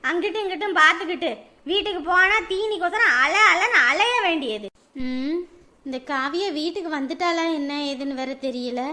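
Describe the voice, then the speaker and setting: A woman's voice: high-pitched, pushed and bright. A woman playing a rural mother who grumbles as she herds goats and scolds her daughter. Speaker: mother of the family. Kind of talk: village family skit.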